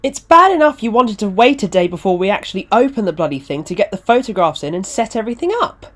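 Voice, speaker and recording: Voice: mid-range voice, lively intonation, a little fast, a little mellow, pitched above the performer's own voice, natural voice. A storyteller voicing an irate, stern, indignant event organiser in acted story dialogue.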